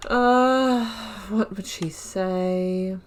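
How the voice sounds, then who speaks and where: A woman's voice: mid-range voice, extremely slow, slight background noise. A woman giving casual, chatty commentary on her video game playthrough, giggling and muttering asides. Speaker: gaming YouTuber. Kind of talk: casual let's-play commentary.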